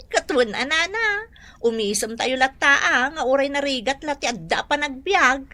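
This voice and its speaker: A woman's voice: sits high, lively intonation, a little slow, bright, forceful tone, dry recording, exaggerated voice. An actress with an Ilocano accent playing a cheerful, giggly, friendly neighbourhood mother in a comedy radio drama. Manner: smiling, laughing often.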